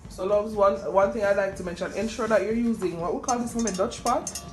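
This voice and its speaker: A woman's voice: medium pitch, fairly quick. A young woman with a Jamaican accent hosts a casual cooking vlog, chatty and self-deprecating, slipping into patois. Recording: music playing behind the voice. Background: music.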